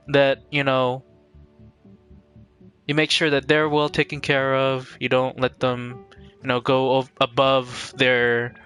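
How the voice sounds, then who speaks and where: Clear, bright-voiced man streaming, rambling casually. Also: mid-range voice. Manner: halting, rambling, repeats words. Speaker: game streamer. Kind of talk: casual live-stream commentary.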